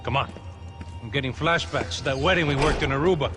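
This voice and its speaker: An actor playing a streetwise Brazilian bodyguard in a video game, brash, familiar and teasing, with a Brazilian accent. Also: male voice, medium pitch, brisk, natural voice. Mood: wry, teasing. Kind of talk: video game cutscene dialogue. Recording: loud music behind the voice.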